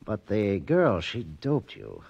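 A suspicious casino boss in a 1940s radio play. He is low and husky, with gruff, slangy orders that swing widely in pitch. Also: wildly swooping pitch, takes it slowly, darkish tone, exaggerated voice. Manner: slangy, curt tough talk. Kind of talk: 1940s radio drama acting. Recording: muffled old radio recording.

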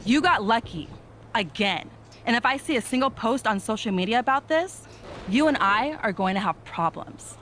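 A bitter, threatening rival. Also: female voice, a little high, animated, moderate pace, a little hiss or hum. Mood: hostile. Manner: sharp, hostile.

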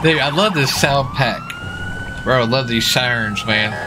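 A man role-playing a police officer in a casual, improvised game, talking in a folksy, excitable way and often repeating himself. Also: medium pitch, unhurried, music in the background. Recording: faint background noise, game sirens. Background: game sirens and vehicle sounds.

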